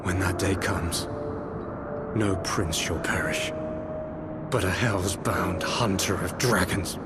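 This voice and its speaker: A man's voice: sits low, slow, over loud music. A man playing a solemn, penitent noble knight prince in a fantasy video game, speaking in grave, formal, archaic oratory. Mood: resolute.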